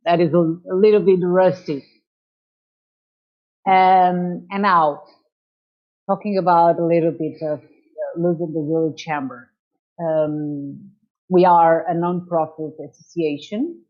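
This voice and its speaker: A woman from Portugal speaking English with a Portuguese accent, slowly searching for words as she explains her chamber of commerce in an interview over a video call. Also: low-pitched. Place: in Lisbon. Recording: dull lo-fi call audio.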